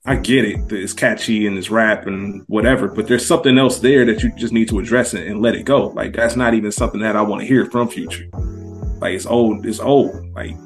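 A man speaking as a guest on a casual podcast, laying out his view in a measured way with frequent fillers. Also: lowish voice, steady tone, faint music behind.

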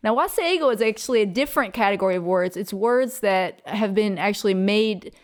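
A woman's voice: mid-range voice, lively intonation, fairly quick. A woman guest on a podcast, explaining conversationally and checking in with questions as she goes.

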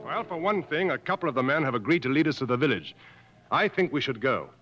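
An actor playing a seasoned, take-charge safari guide, matter-of-fact, in 1950s radio drama style. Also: male voice, mid-range voice, animated, fast, a bit bright, faint music behind, close to an everyday voice. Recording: muffled old 1950s radio broadcast.

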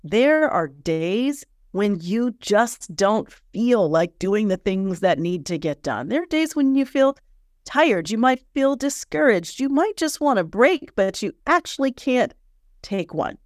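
A woman's voice: mid-range voice, sing-song, a little slow, no room sound, clean studio sound. A woman hosting a motivational podcast as a personal coach, talking in a candid, earnest and encouraging way, slightly stuffy from sinus pressure.

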